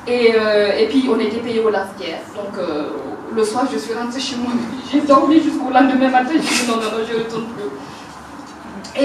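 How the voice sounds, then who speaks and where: A woman's voice: on the high side, noisy background. A woman in her forties with a Burkinabe French accent, telling her life story with laughter and frequent hesitations. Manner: animated, laughing, many hesitations. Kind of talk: personal life story talk. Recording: roomy, distant mic, faint ambience.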